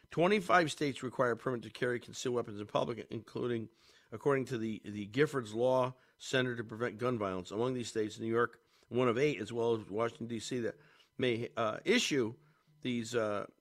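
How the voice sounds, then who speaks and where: A man's voice: mid-range voice, fairly bright tone. Congested man hosting talk radio. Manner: rambling, many fillers and restarts, chuckles. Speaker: radio talk show host. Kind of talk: casual talk radio commentary.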